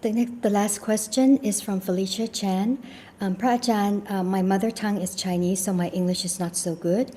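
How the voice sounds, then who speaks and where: Female moderator, soft dark tone, reads viewer questions slightly haltingly, faint noise behind. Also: medium pitch, steady tone. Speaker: moderator reading audience questions. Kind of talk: reading out submitted questions.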